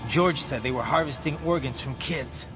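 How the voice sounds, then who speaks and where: A man's voice: mid-range voice, moderate pace, music in the background, lightly acted. A man voicing an earnest, dry cyborg mercenary in an English-language video game. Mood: troubled. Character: young adult male cyborg mercenary, earnest, dry and indignant. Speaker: field operative.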